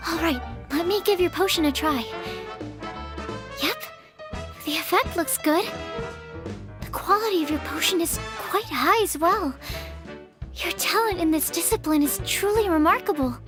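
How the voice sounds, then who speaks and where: A woman's voice: very high-pitched, a little slow, pauses often. A woman playing a sweet, earnest young female researcher in an anime game, polite and encouraging. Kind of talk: anime video game voice acting. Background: music.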